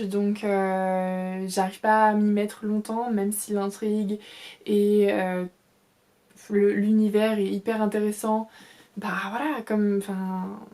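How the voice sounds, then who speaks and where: A young woman talks through her current reads in a relaxed vlog, chatty and animated. She gushes, hesitates with fillers and circles back on herself. Her pitch sits in a middle range for a woman. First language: French. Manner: chatty, enthusiastic, many fillers and restarts. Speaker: book vlogger. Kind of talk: casual reading vlog chat.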